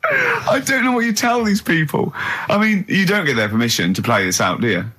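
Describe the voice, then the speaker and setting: A man hosting comic radio chat, teasing and challenging, quick to crack up. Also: high voice, huge pitch swings, bright, forceful tone, a little hiss or hum. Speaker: radio show host.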